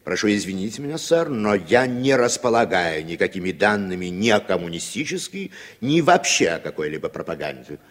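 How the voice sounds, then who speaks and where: An actor playing a wry, educated middle-aged playwright, measured and courteous, in 1960s Soviet radio drama style. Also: male voice, sits low, sing-song. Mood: politely defiant.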